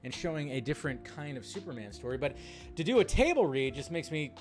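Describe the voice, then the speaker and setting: Male film-channel host, higher-than-average pitch, slangy opinionated delivery, background music. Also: very animated, fast. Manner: emphatic, rambling, slangy. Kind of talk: casual opinionated fan commentary. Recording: music behind the voice.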